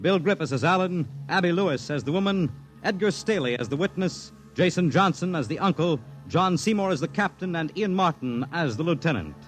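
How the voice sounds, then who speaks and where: A man announcing a 1950s radio drama in a measured, dramatic manner. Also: a little high, animated. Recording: old 1950s radio broadcast with music. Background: music.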